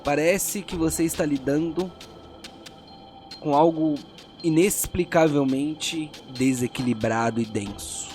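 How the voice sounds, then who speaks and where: A man narrating a tabletop fantasy story as game master, in a grave, deliberate storytelling manner. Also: fairly high pitch, darkish tone. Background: faint music.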